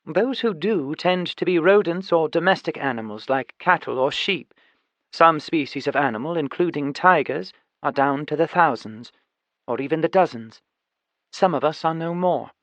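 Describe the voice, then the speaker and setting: A man's voice: on the high side, lively intonation, normal speed, fairly bright tone, no room sound, dull audio, pitched above the performer's own voice. A man voicing a huge female chimpanzee, an articulate, earnest activist with an educated accent, in an audiobook. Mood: earnest lecturing. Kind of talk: audiobook character voice.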